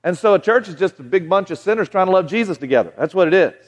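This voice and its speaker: Man, Southern American accent, 40s, folksy conversational preacher, slightly high voice, echoing hall. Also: expressive, quick pace. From Southern United States. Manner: folksy, conversational, frequent restarts and asides to the crowd. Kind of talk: sermon to a live audience. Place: large hall with audience.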